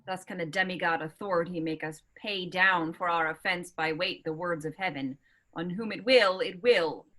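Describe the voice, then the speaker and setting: A woman playing a bitter, resigned young gentleman prisoner in a staged reading of Shakespeare verse. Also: fairly low pitch, normal speed.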